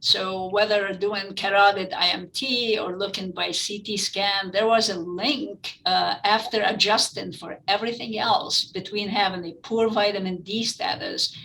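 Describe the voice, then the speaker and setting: A woman's voice: mid-range voice, steady tone, unhurried, gravelly, few pauses, sharp tone, a little hiss or hum, poor audio. A middle-aged woman with a French-influenced Lebanese accent, giving earnest, explanatory answers in an interview. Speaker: interview guest, physician-researcher.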